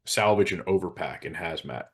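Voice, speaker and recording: A man, a specialist co-host, giving an informal technical explanation in a measured way with pauses. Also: deep voice, lively intonation, vocal fry.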